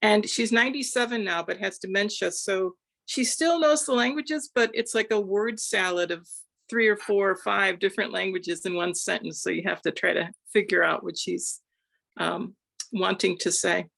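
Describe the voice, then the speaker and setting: A woman whose first language is Croatian, introducing and reading poems at a poetry event in a slow, deliberate, expressive way. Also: medium pitch, creaky. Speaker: poetry reader.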